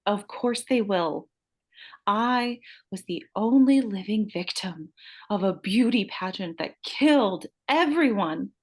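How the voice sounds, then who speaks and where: A woman's voice: medium pitch, animated, takes it slowly, a little mellow. A vain, vengeful pageant killer, acted by a woman at a script table read. She gloats and taunts like someone unhinged, swearing freely. The delivery is theatrical horror-drama. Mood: gloating. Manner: taunting, profane, theatrical outbursts. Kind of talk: live screenplay table read.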